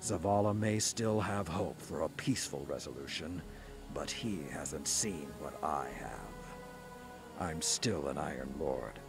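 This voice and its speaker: An actor playing a grim, vengeful old warrior lord in a video game, grave and portentous, with music behind. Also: male voice, very deep voice, animated, slow, creaky, full character voice. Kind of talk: dramatic video game monologue.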